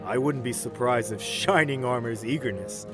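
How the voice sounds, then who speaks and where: A man's voice: medium pitch, moderate pace, music in the background, natural voice. A man playing a pompous, scheming pony nobleman in an audio drama, speaking in a formal, condescending way. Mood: oily, flattering. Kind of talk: fan audio drama acting.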